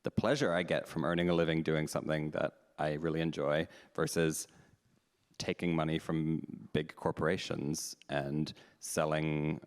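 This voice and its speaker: Very deep voiced guy hosting a panel, candid, just thinking out loud, echoey hall. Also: level tone, moderate pace. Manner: frank, candid, thinking aloud. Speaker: panel host, drag performer. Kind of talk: live panel discussion. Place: meeting house hall. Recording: long hall reverb.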